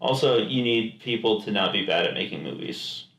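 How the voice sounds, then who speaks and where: A man's voice: lowish voice, animated, normal speed, vocal fry, a bit bright. A man co-hosting a podcast, chatting casually and comedically about movies in a rambling, jokingly sarcastic way. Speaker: podcast co-host. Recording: echoey room.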